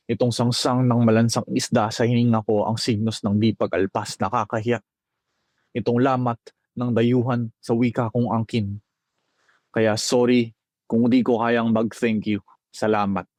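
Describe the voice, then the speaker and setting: A young Filipino man with a Filipino accent, a spoken-word poet reciting his poems from memory with rhythmic phrasing and dramatic pauses. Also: on the low side, a little mellow, no echo. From Philippines.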